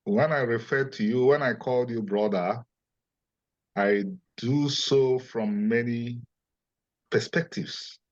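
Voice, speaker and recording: A man's voice: medium pitch, takes it slowly. Middle-aged Igbo-accented male scholar, earnest and emphatic, muffled lo-fi sound. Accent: Nigerian Igbo. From Nigeria, Igbo land. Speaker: scholar and interview guest. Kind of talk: interview, lecturing. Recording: dull lo-fi audio.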